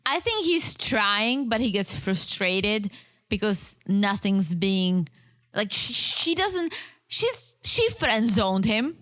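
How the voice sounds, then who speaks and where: A woman co-hosting a podcast about a reality show, chatting casually and acting out quotes in an animated way. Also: mid-range voice, slow pace, no room sound. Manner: animated, acts out quotes from the show, frequent restarts. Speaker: podcast co-host.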